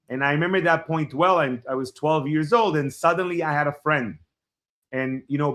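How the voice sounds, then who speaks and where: A man in his forties from Israel, a Hebrew speaker, telling his life story as a podcast guest in a reflective, halting way. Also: a little high, a bit bright. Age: 40s. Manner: reflective, halting starts with frequent fillers. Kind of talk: personal storytelling in an interview.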